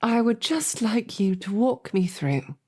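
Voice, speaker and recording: A woman playing a composed, sarcastic female royal knight who speaks formally and often softly, close to a whisper, in an audio roleplay. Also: fairly high pitch, lively intonation, measured pace, breathy edge. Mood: exasperated.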